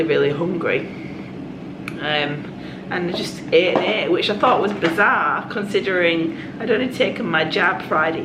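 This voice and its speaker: A woman, croaky from a cold, chatting in a confiding way to her vlog audience. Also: fairly low pitch. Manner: chatty and confiding, with quick asides. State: hoarse from a cold. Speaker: vlogger. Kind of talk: casual vlog chat. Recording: echoey room with background noise.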